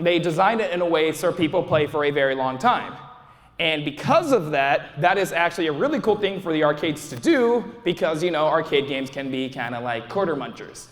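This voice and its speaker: A young man with a fairly high voice talks casually to a convention audience, rambling and restarting his sentences as he goes. His voice carries a long hall reverb in a large panel room, as heard from a fan's recording in the crowd. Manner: rambling, frequent restarts and fillers. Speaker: YouTuber presenting a convention panel. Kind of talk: casual live panel talk.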